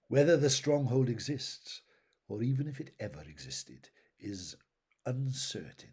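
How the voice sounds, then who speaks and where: A man reading fantasy fiction aloud as a narrator, in a measured, dramatic storytelling style with phrases that tail off softly. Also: fairly low pitch, breathy edge.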